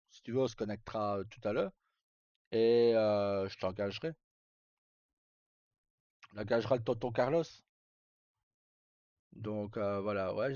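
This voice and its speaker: A man's voice: medium pitch, a little mellow, no room sound. A middle-aged man talks casually on a livestream in an informal, rambling and hesitant way. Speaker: live streamer. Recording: dull, lo-fi sound.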